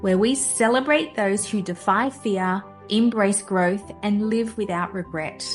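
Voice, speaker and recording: A woman's voice: medium pitch, measured pace, soft background music. Woman hosting an interview podcast. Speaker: podcast host.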